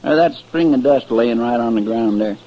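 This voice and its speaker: An actor playing a young, plaintive cowboy sidekick with a rural western American drawl in an old-time radio drama. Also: male voice, mid-range voice, moderate pace, close to an everyday voice. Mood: alert, curious. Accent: rural American West. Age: young adult. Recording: muffled, noisy old radio recording.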